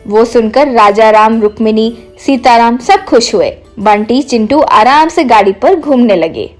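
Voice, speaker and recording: A woman's voice: a little high, expressive, unhurried, faint music behind. A woman narrator reading a scripted story.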